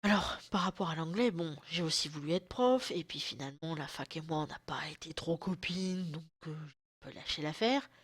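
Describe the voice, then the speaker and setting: A woman's voice: low-pitched, a little fast, husky, dry recording, clean studio sound. A woman in her thirties talking casually to camera at home, chatty and self-mocking, with a throat still sore from a cold. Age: 30s. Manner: chatty, self-mocking, loose slangy talk. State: lingering sore throat, occasional coughs. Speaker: vlogger. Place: at home, indoors.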